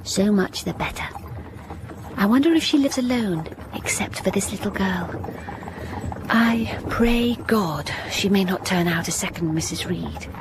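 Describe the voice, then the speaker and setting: An actress playing a reserved young governess, narrating in a soft, restrained voice in a radio drama. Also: female voice, medium pitch, a little slow, noisy background, close to an everyday voice. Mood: reflective. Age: young adult. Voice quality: soft, restrained, often near a whisper. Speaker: narrator and lead. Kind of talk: first-person drama narration. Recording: narration over music score.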